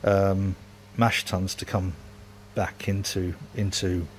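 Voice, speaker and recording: A man's voice: low voice, little pitch movement, slow pace, a little hiss or hum. A man, rambling casually and self-mockingly while filming his home brewery workshop on a handheld phone.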